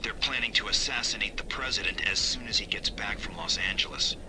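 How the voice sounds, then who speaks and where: An actor playing an eager government agent in a video game, polite and ingratiating. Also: male voice, fairly low pitch, brisk, background noise, lightly acted. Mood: eager, earnest. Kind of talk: video game cutscene acting.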